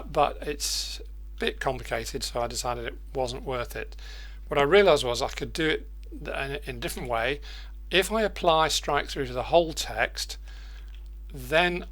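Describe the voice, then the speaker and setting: An older man presenting an informal screencast software tutorial, hesitant and thinking aloud as he demonstrates. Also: medium pitch. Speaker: presenter of editing macro tutorials.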